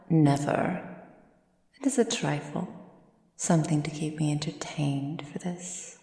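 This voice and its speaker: Woman acting slow, whispery seductive vampire woman. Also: low voice, mellow, full character voice. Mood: amused, condescending. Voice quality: breathy, mostly whispered. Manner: slow, deliberate, theatrical, teasing. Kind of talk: ASMR roleplay, intimate monologue. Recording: roomy sound with long reverb.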